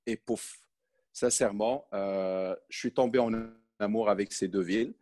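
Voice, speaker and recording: This is a man from Morocco in his forties, a guest on a podcast. His voice sits low. He talks easily but hesitantly, full of little fillers and restarted phrases. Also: a little slow, warm tone. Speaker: podcast guest, sales manager. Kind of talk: conversational interview.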